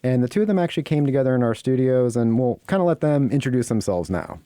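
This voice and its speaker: A man's voice: mid-range voice, expressive, quick pace, mellow, smooth, no room sound, clean studio sound. A man working as a radio producer, informally introducing a segment.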